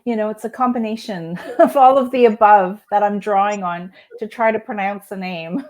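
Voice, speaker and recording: A woman's voice: medium pitch, moderate pace, a little mellow. A woman co-hosting a casual writing chat, easygoing and affirming, wrapping up with friendly calls to the audience. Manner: easygoing, affirming, brief agreeing remarks. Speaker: podcast co-host. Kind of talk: casual conversation about writing.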